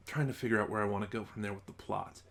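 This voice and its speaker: A man's voice: lowish voice, fairly even intonation, fast, vocal fry, a bit bright. A man giving a casual, unscripted review as an online reviewer, rambling and thinking aloud in a stop-and-start way.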